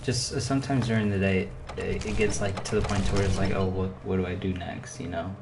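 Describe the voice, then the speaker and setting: Laid-back young man, low voice, mic far off. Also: steady tone, fairly quick, background noise. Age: young adult. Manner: laid-back, rambling, lots of "dude" and "like". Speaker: streamer playing a VR game. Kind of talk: casual chat while gaming. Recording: distant mic under loud game music.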